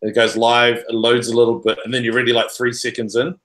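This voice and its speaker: A man from New Zealand, a podcast guest, chatting about his show in a loud, jokey, self-mocking way. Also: medium pitch, monotone, fast talker, echoey room. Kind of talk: casual chat. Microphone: USB mic, online call.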